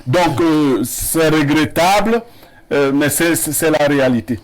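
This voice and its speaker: A man's voice: fairly high pitch, a little slow, poor audio. A man with a Cameroonian French accent, a guest on a TV studio debate, talking in a proud, expansive way and reeling off lists of names. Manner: expansive, listing names with playful pride. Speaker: panel guest.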